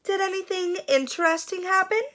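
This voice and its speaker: A woman voicing a child's mom and dad in a storybook, gently probing in a pointed, knowing way. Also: very high voice, brisk, voice pushed up. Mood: knowing, teasing.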